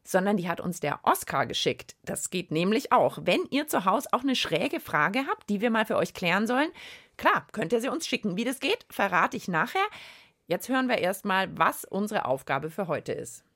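A woman's voice: medium pitch, very animated, normal speed, fairly bright tone. A woman hosting a children's podcast, playful, teasing and encouraging in casual chat. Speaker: children's podcast host and reporter.

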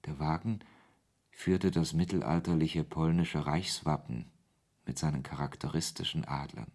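An actor playing a wry author who narrates a radio play in the first person, in a husky voice. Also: male voice, very deep voice, fairly even intonation, moderate pace, darkish tone. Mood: wry narration. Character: male author narrating his absurd encounter, wry and irritated.

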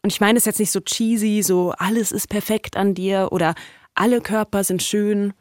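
Podcast-Erzählerin in mittlerer Tonlage. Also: female voice, mid-range voice, fairly even intonation, normal speed, a little mellow, dry recording, clean studio sound. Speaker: podcast host and narrator. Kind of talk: scripted conversational storytelling.

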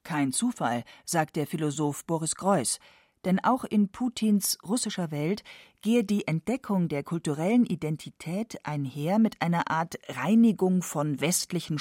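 A woman's voice: deep voice, darkish tone, no echo, studio quality. A woman reading scripted narration as a documentary narrator.

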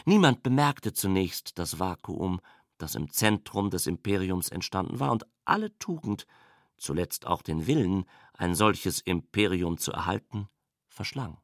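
A man narrates scripted literary prose in a fairly low voice. His delivery is measured and formal, recorded very dry and close to the ear, as in a radio drama. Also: very animated, no echo.

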